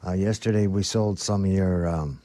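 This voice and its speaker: A man chatting casually as a radio guest, plain-spoken and a little halting. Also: low-pitched, fairly even intonation, dark tone, no echo. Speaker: guest, thrift store manager. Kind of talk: casual radio interview chat.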